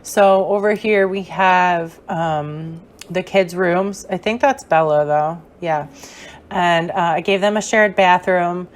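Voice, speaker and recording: A young woman with a hoarse, fading voice, giving a chatty, rambling gameplay tour voice-over with many fillers. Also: lowish voice, takes it slowly. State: hoarse, losing her voice. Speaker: gaming creator.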